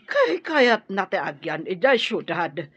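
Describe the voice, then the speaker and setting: An actress playing a bitter, hard-nosed warrior clan mother in an Ilocano radio drama, scolding and forceful. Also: mid-range voice, very animated, takes it slowly, husky, dry recording, highs cut off, heavily acted. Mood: grieving, aggrieved.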